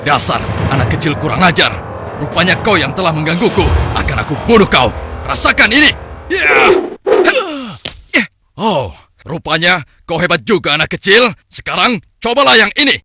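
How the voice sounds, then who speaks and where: A snarling villain soldier. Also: male voice, sits high, very animated, normal speed, vocal fry, heavily acted. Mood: menacing. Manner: taunting, snarling threats. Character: brutal male soldier, cruel threatening villain. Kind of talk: cartoon voice acting. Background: music.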